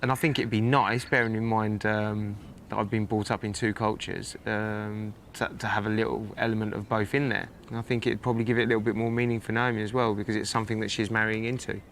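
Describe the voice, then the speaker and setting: A man's voice: lowish voice, flat delivery, fast, few pauses, fairly bright tone, slight background noise. Chatty young man, upbeat and laughing. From Turkey. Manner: chatty and upbeat, laughs easily, haggles cheerfully. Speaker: groom planning his wedding. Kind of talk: casual reality documentary talk.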